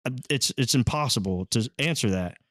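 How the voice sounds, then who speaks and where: A man's voice: mid-range voice, animated, brisk, fairly bright tone, dry recording. Easygoing man, dry close sound. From Texas. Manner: easygoing, modest, plain-spoken. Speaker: band lead singer, podcast guest. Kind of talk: casual chat.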